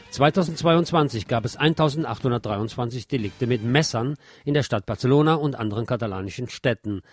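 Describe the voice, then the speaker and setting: A man presenting radio news from a script, with colloquial commentary mixed in, over music. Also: mid-range voice. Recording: music behind the voice.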